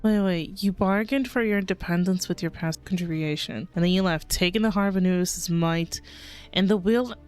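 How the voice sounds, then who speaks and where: Casting: woman game streamer, lower register, off-the-cuff reaction, short muttered interjections, light music underneath. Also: moderate pace, a little mellow, clean tone. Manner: short murmured interjections, thinking aloud. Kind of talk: casual live reaction commentary.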